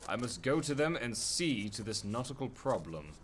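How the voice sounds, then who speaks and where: An actor playing a brooding, theatrical vigilante crime fighter in a radio drama, talking in clipped, melodramatic lines. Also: male voice, mid-range voice, big pitch swings, moderate pace, distant mic, slight background noise, close to an everyday voice. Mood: wry, sarcastic. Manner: clipped, melodramatic, pun-loving. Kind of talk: radio drama monologue and dialogue.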